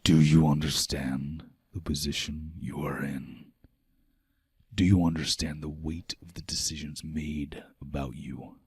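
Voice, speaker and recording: A man voicing a stern, imposing fantasy Jarl, speaking slow, weighty pronouncements in a tabletop game. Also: a bass voice, little pitch movement, dry recording, clean studio sound, full character voice. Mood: stern, challenging. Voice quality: gravelly, breathy at times. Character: stern, imposing male Jarl passing judgment in a fantasy hall. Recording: music behind the voice.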